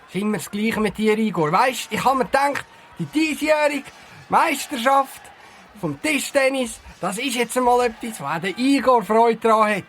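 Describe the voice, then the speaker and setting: A man playing an excitable, chatty detective in a comic Swiss German audio drama, with an eager, lecturing way of talking. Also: extremely high pitch, moderate pace, slight background noise. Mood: chiding. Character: excitable, chatty male detective and table tennis fan.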